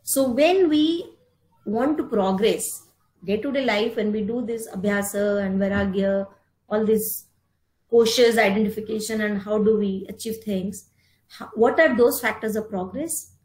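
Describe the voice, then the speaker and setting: A middle-aged woman with an Indian accent giving an instructive talk in short, deliberate, emphatic phrases, explaining terms as she goes. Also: medium pitch, darkish tone. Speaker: speaker giving a yoga philosophy talk. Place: indoor room, online meeting. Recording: roomy, echoing virtual meeting audio.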